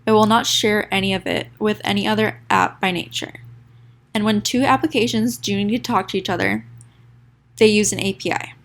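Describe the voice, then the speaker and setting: Stuffy nasal young woman reading her class project, bit of fry, trips over words sometimes. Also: medium pitch, fairly even intonation, moderate pace, a bit bright. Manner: reads a prepared script with small stumbles and restarts. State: sick, congested. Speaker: student presenter.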